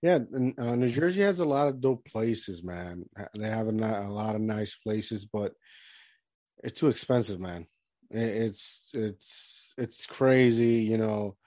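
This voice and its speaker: A man's voice: lowish voice, measured pace, dark tone, no room sound. Rambling man from Puerto Rico, dry sound. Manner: rambling, with many restarts and fillers. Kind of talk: casual chat.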